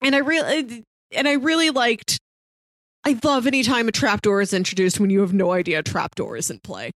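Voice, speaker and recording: A woman's voice: fairly high pitch, animated, fairly quick, no room sound, studio quality. A woman co-hosting a casual discussion about movies. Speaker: podcast co-host reviewing films.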